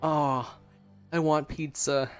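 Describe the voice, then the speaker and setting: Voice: medium pitch, flat delivery, slow. A streamer giving casual, playful gameplay commentary, reacting aloud to the game. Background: game music.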